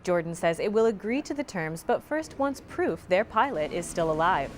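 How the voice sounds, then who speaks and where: A young woman anchoring a college newscast from a studio newsroom, reading scripted headlines in a crisp, even newscaster manner. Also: on the low side, normal speed, a little hiss or hum. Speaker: student news anchor.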